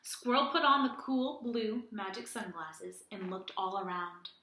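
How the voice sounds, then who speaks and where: A young woman reading a picture book aloud like a teacher, animated and asking children simple questions. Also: medium pitch, unhurried, reverberant, slight background noise, low-quality recording. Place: small indoor room with plain wall.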